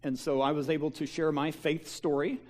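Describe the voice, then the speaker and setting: Folksy pastor with halting delivery. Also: male voice, on the high side, little pitch movement, a little mellow. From Kansas. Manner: folksy, halting, with many fillers and restarts. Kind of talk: church mission-trip slideshow talk. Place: church sanctuary.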